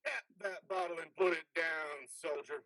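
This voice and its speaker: A man's voice: a little high, a little slow, sharp tone, dry recording. A man playing the stern ghost of a dead army officer in a dramatic play reading, domineering and manipulative.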